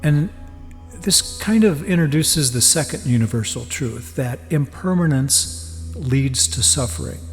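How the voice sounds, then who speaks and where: A man giving a guided meditation teaching in a measured, deliberate way, with pauses between phrases. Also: mid-range voice. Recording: long reverb, soft music behind. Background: music.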